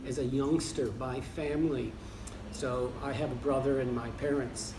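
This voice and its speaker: A man's voice: mid-range voice, normal speed. American man in his sixties, far from mic. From United States. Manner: relaxed, with frequent ums and self-restarts. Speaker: interviewee. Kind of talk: reflective interview answers. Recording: roomy, distant mic with background noise.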